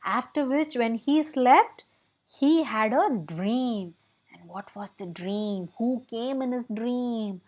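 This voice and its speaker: A woman's voice: a little high, takes it slowly, a little mellow. A young woman with an Indian accent tells a children's story expressively, with questions and emphatic repeats. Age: young adult. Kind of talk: animated read-aloud storytelling for kids. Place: small indoor room at home.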